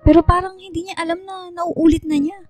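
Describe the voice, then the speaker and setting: A woman's voice: very high-pitched, fairly even intonation, fairly quick, darkish tone, no echo, faint music behind. A woman voicing a caring, earnest teenage schoolgirl in a radio drama. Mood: worried, earnest.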